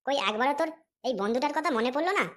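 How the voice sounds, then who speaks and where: A woman's voice: sits high, rapid-fire, close to an everyday voice. A woman voicing a young man in a comedy cartoon who teases his friend for being stingy. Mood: teasing. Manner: teasing, reproachful. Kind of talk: Bengali comedy cartoon dialogue.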